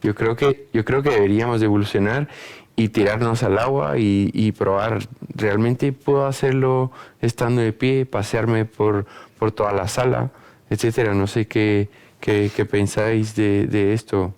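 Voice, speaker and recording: A man moderating an online panel, putting relaxed questions to the speakers. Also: lowish voice, moderate pace, clean tone. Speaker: moderator, radiologist. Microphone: video call.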